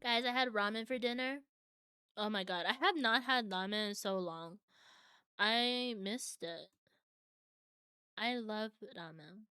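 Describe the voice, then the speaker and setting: A woman's voice: mid-range voice, slow pace, long pauses, bright, forceful tone, clean tone, no echo, clean studio sound. A young adult woman streaming from home, chatting casually with viewers and answering their comments.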